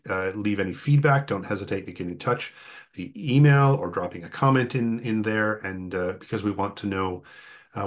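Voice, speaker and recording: A man hosting an online workshop in a conversational, halting way, often repeating words as he goes. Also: fairly low pitch. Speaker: host.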